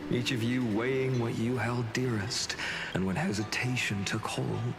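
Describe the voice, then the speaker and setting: An actor voicing a solemn, gentle and resolute male hero in a video game, with measured, earnest delivery and dramatic pauses. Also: mid-range voice, steady tone, vocal fry. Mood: earnest resolve. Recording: game audio under loud music.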